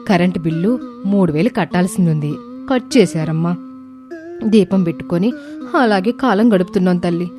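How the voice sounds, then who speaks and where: A woman voicing a shrewd aunt who feigns sweet regret, expressive, in an animated moral story. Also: fairly low pitch, close to an everyday voice.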